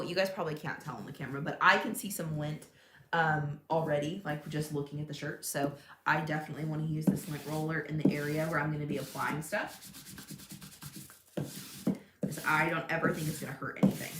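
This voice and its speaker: Chatty, low-voiced woman crafting live. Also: fairly even intonation, fairly quick, reverberant, very noisy. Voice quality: loud voice. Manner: chatty, thinks aloud, laughs often, reads viewer comments. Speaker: crafting livestream host. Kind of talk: casual live crafting chat. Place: home craft room. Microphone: tablet mic across the room.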